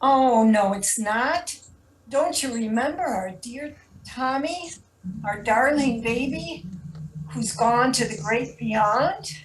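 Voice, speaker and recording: A woman acts out a prickly nineteenth-century wife in an amateur play. She speaks very slowly in a raised pitch, with noticeable noise on the recording. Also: animated. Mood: tender, reproachful. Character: prickly 19th-century neighbor wife at a haunting. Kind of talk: amateur stage play reading.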